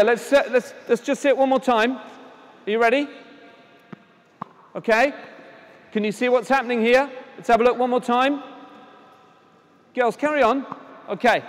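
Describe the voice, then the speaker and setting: A man coaching children at tennis drills, giving brisk encouraging instructions and projecting his voice loudly. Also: extremely high pitch. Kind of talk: on-court coaching demonstration. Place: indoor tennis hall at a conference. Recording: echoey hall, voice from a distance.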